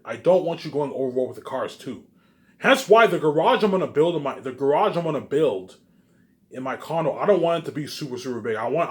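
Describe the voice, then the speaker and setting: Man rambling casually in repetitive loops. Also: a little high. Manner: repetitive, circling back over the same phrases.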